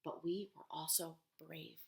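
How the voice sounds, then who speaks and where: Woman reading gentle old grandmother, hushed and soft, echoey room. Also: sits low, level tone, a little slow, creaky, pitched below the performer's own voice. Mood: tender.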